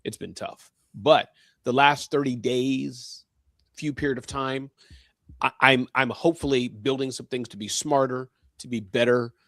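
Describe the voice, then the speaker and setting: A man's voice: medium pitch, steady tone, unhurried, a bit bright, no room sound, studio quality. A man in his fifties co-hosting a casual online panel chat, joking and playfully contrarian. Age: 50s. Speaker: co-host.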